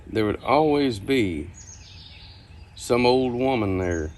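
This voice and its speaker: An older man reminiscing casually, telling stories in a folksy, unhurried, halting way. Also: mid-range voice, lively intonation, darkish tone, a little hiss or hum. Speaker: storyteller. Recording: outdoor ambience with birdsong behind. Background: birdsong, outdoor ambience.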